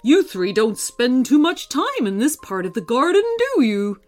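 A woman voicing a kindly old male wizard in a children's story, with theatrical, drawn-out delivery. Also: fairly high pitch, big pitch swings, faint music behind. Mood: delighted, chuckling. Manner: theatrical, exclamatory, drawn-out words.

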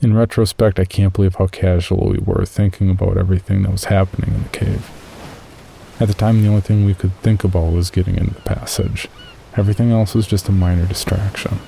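Man acting as weary caver, deep gravelly voice, mellow delivery, uneasy horror journal narration. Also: a little hiss or hum. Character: weary male amateur caver recounting his journal, uneasy. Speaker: narrator of an audio horror story. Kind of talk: first-person horror story narration, read as a journal.

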